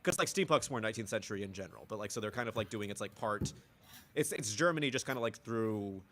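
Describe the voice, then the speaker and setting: A man's voice: lowish voice, lively intonation, fast, a bit bright, slight background noise. A man co-hosting a casual podcast, rambling through restarts and breaking into joke accents now and then. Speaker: podcast co-host.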